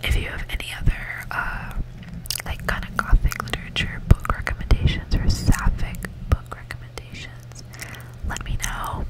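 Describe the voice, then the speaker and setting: An ASMR reader who never rises above a whisper. The voice is soft and unvoiced, all breath. The delivery is relaxed and unhurried, suited to reading aloud or quiet, casual chat. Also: low-quality recording.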